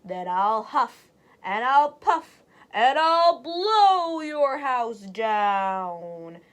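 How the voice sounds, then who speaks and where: A woman voicing a hungry, menacing big bad wolf in a storybook, growling slow, taunting threats. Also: a little high, very animated, full character voice. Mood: gleeful threat. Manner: growling, drawn-out huffing threats.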